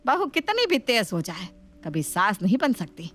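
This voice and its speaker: A woman's voice: mid-range voice, wildly swooping pitch, moderate pace, a bit bright. A woman voicing a shrewd older mother-in-law in a family story, scolding and mock-sweet. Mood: stern, scheming.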